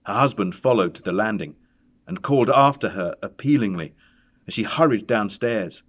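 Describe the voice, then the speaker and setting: A man narrating a horror story in a dramatic, suspenseful and deliberate way. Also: on the low side, lively intonation. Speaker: story reader.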